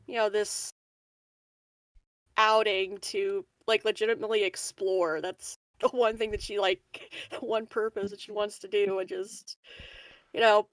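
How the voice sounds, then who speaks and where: A woman's voice: mid-range voice, steady tone, unhurried, no room sound, low-quality recording. Hesitant woman player, close dry sound. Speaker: player in a tabletop roleplaying game. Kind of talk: casual, improvised game chat.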